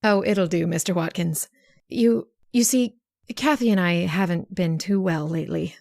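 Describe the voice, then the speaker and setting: An actress plays a sly, genteel schemer in an old-time radio drama. Her creaky voice is dryly recorded, and she confides in a careful, deliberate way as if frail and worried. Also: lowish voice, darkish tone, dry recording, studio quality. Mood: feigned frailty. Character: sly, scheming sister feigning frail worry.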